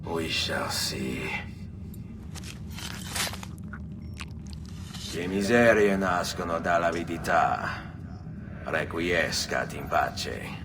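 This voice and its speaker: An actor playing a grim, resolute young nobleman assassin in a video game, speaking in a grave, measured way. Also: deep voice, noisy background. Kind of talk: video game cutscene acting.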